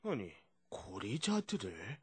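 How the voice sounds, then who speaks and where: A man's voice: on the low side, huge pitch swings, takes it slowly, husky, no room sound, exaggerated voice. A man voicing a dignified, trusting middle-aged king and father in a children's puppet show, with stately old-fashioned speech. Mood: concerned, pondering.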